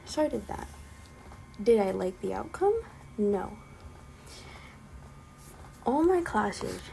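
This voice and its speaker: Gravelly-voiced young woman with a slight lisp. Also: medium pitch, dark tone, a little hiss or hum, poor audio. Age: young adult. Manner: rambling, frequent ums, exasperated. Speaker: vlogger. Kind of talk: casual spoken rant.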